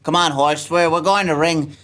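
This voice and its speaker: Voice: medium pitch, steady tone, moderate pace, a bit bright, slight background noise, pitched below the performer's own voice, lightly acted. A performer playing a flustered, stubborn male spaceship captain, stammering and hesitant in a comic radio play. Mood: nervous. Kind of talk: cold-read comic radio sci-fi play.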